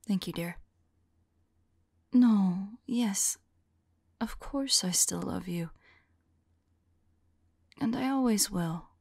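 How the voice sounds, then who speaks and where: A woman playing a weary, devoted vampire lover in an ASMR audio roleplay, speaking softly and haltingly in a breathy, whispery voice. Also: mid-range voice, dry recording, studio quality, exaggerated voice. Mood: tender, reassuring. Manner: gentle, halting, hushed delivery. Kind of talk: ASMR audio roleplay, soft intimate acting.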